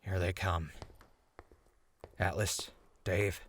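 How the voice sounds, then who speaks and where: A man's voice: deep voice, level tone, extremely slow, a bit bright, slight background noise, exaggerated voice. A man playing a wry, loyal, talkative ghoul wastelander in a post-apocalyptic audio drama. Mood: wry resignation.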